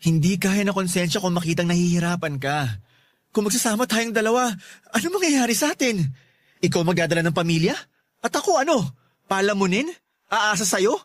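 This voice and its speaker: An actor playing a defeated, self-doubting young boyfriend in a radio drama, incredulous and exasperated, with a Filipino accent. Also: male voice, high voice, animated, a little fast. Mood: bitter, doubting. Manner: incredulous, exasperated, firing rhetorical questions.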